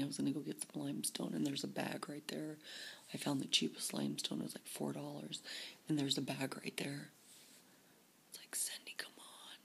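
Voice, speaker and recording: A woman whispering into her camera for a personal video diary, halting and repeating her words. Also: deep voice, creaky, fairly bright tone. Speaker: vlogger. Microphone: camera facing her.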